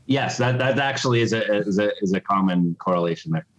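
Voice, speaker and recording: A man's voice: on the low side, fairly quick. A man being interviewed as a game designer, speaking casually on a podcast. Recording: dull, lo-fi sound.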